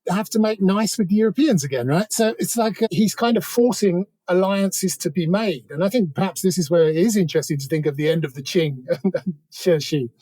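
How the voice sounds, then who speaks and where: A British man talks through politics as an interview guest. His voice sits a little high yet is mellow and dark in tone. He wanders conversationally through asides, in a dry recording. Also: fairly quick, dry recording. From Britain. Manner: discursive, hedging with many asides.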